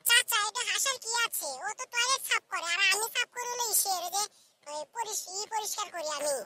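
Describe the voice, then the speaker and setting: Super high squeaky cheeky village boy, cartoon banter, teasing, noisy clicky recording. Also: lively intonation, brisk, pushed and bright, exaggerated voice. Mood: chatty. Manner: teasing, chatty, exclaiming. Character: cheeky young village lad in a comic cartoon. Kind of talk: comic Bangla cartoon dialogue.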